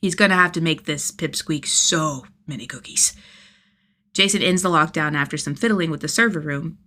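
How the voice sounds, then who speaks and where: A woman reading a scripted story aloud as an expressive fiction narrator. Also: low-pitched, moderate pace. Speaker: podfic narrator.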